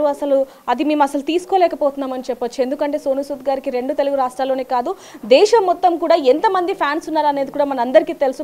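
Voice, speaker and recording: A woman's voice: high voice, darkish tone. A woman reporting live news from the field in a wordy, emphatic way.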